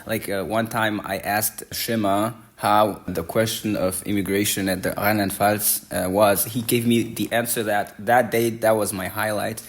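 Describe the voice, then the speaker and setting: A young man in his twenties from Rwanda, answering interview questions in a relaxed, rambling way. His voice sits on the low side, and he leans on fillers as he talks it through. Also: a little slow, hardly any pauses. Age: 20s. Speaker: exchange participant.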